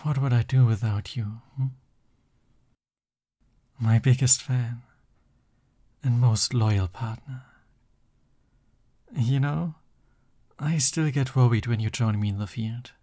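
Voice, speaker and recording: A man playing a soft-voiced, lovestruck villain boyfriend in an intimate ASMR roleplay, bashful and tender as he talks. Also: medium pitch, takes it slowly, long pauses, natural voice. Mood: tender, doting. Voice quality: soft, breathy. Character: lovestruck, flustered supervillain boyfriend, soft and doting.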